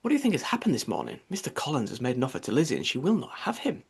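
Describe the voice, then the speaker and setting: A man's voice: mid-range voice, huge pitch swings, rapid-fire, creaky, slightly rough, heavily acted. A man voicing a flighty teenage girl in an audiobook, gossiping eagerly in a half whisper. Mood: excited, gleeful.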